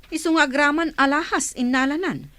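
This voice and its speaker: Woman acting a high-voiced concerned villager. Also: brisk, fairly bright tone. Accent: Ilocano. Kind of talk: Ilocano radio comedy drama acting.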